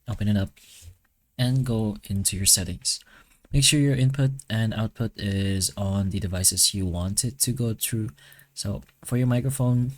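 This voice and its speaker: Low-voiced man giving a casual tutorial. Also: no echo. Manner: informal, instructional. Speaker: tutorial narrator. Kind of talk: casual software tutorial narration.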